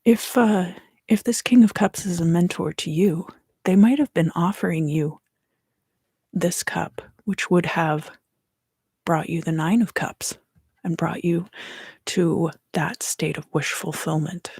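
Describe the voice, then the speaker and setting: A woman giving a soft-spoken, partly whispered ASMR tarot reading in a breathy, gentle, unhurried way, pausing between short phrases close to the microphone. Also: on the low side, no echo. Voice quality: breathy, hushed. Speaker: tarot reader hosting an ASMR video.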